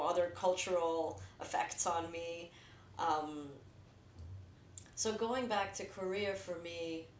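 An American woman from New Jersey talking candidly and reflectively about herself in casual conversation. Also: on the low side. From New Jersey, United States. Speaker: filmmaker. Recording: roomy echo, distant mic, faint noise.

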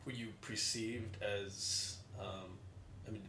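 A man's voice: fairly low pitch, fairly even intonation, slow, pushed and bright. A man in casual conversation, speaking hesitantly as he searches for his words. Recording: echoey room, faint noise.

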